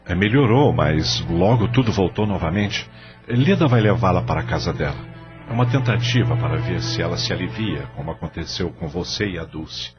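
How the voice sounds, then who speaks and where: Worried family father in an audio drama, voiced by an actor; low, expressive voice, muffled with clear music. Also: normal speed. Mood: firm. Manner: worried, earnest. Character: anxious husband and father. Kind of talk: radio drama acting.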